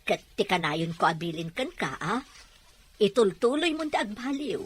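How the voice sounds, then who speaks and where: A woman playing a generous, motherly middle-aged woman in an Ilocano audio drama, with a husky voice. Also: mid-range voice, sing-song, moderate pace. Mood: warm, advising. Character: warm, generous older woman, motherly helper.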